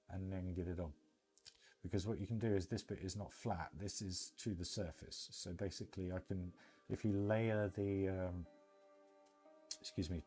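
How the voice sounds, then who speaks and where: British man, low-pitched, mellow tone, casual game livestream commentary, informal rambling delivery, faint music behind. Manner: informal, rambling, thinking aloud. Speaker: livestream host.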